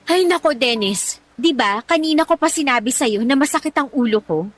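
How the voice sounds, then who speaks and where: Young girlfriend, Filipino accent, high swooping voice, whiny, argumentative, radio drama. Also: expressive, moderate pace. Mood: complaining. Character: lazy, defiant young live-in girlfriend talking back.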